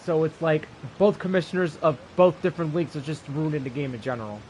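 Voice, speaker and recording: Higher-pitched man, rambling casual streamer. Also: fast, darkish tone, a little hiss or hum, rough recording. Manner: rambling, informal. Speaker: game streamer. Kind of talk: casual live-stream commentary. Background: game music.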